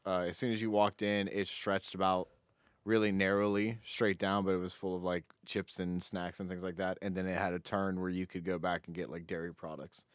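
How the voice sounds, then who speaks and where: Lowish-voiced American man in casual banter. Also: level tone, a little fast, smooth, no echo, studio quality. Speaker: podcast co-host.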